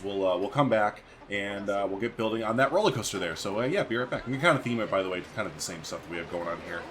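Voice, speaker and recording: Man, lowish pitch, casual let's play commentary, chatty and slangy, thinking aloud, faint background ambience. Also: fairly bright tone, slight background noise. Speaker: gaming video host.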